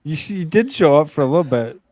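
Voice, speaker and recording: A man's voice: on the high side, lively intonation, fast talker, a little mellow, no echo. A man hosting a show with casual banter in an informal, chummy way. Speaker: show host.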